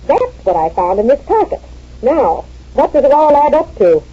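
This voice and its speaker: A woman's voice: sits high, very animated, moderate pace. A woman playing a jealous wife who interrogates her friend in a 1940s radio comedy, on a muffled old recording. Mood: suspicious. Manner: indignant, interrogating, theatrical. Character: jealous suspicious wife, sharp and dramatic.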